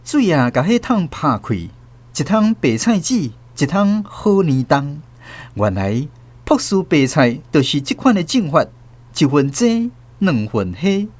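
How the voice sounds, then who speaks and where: 一位男主持人以台語口音朗讀小說旁白，語調生動。. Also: male voice, on the high side, big pitch swings, a little slow. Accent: Taiwanese Hokkien. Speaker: host and narrator. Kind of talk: scripted storytelling narration.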